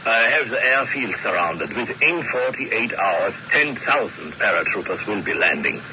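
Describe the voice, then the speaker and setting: An actor playing a confident German commando officer on a secret mission in a radio drama, commanding and confiding. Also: male voice, lowish voice, animated, normal speed, lightly acted. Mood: purposeful, confiding. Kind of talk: old-time radio drama acting. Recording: muffled, noisy vintage radio recording.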